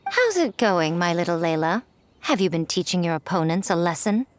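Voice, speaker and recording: A woman's voice: on the low side, big pitch swings, normal speed. A sly, teasing noblewoman. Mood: gracious. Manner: silky, teasing, amused drawl. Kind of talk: video game voice acting. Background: game music.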